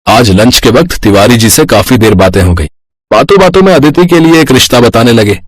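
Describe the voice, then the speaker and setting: A man acts out a middle-aged father and husband in an animated family tale. He explains things calmly and reassuringly in a husky voice. The recording is dry. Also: medium pitch, no room sound, close to an everyday voice. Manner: measured, explanatory. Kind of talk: animated moral-story voice acting.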